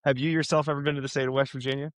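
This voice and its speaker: A man's voice: medium pitch, level tone, rapid-fire, no room sound. A middle-aged American man chatting casually, telling stories in a rambling way. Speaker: podcast guest, salesman. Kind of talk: casual chat.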